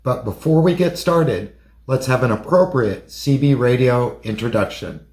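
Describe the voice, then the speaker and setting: A man's voice: mid-range voice, lively intonation, unhurried, a little mellow, husky. A man giving a casual hands-on product demonstration in a plain, methodical, step-by-step way. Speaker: product reviewer.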